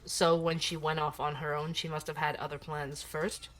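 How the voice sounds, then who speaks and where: A woman playing a composed investigative journalist in an audio drama, asking measured, probing questions. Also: low voice, level tone, a bit bright. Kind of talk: audio drama acting as podcast interview.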